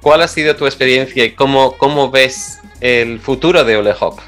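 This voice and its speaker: A man with a mid-range voice hosts a radio interview. He speaks with care and sometimes stops to search for a word. Light music plays softly behind him. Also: medium pitch. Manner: measured, searching for words. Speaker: radio host. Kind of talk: interview hosting. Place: radio studio.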